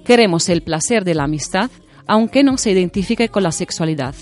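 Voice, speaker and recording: Woman, slightly low voice, measured scripted reading, soft music behind. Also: expressive.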